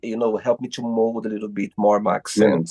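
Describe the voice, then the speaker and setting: A man's voice: fairly low pitch, flat delivery, a little fast, dark tone, slightly rough, dull audio, faint music behind. A Brazilian man in his thirties, a native Portuguese speaker with a Brazilian accent, hosting a casual interview with effusive, repeated praise for his guest. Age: 30s. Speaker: host.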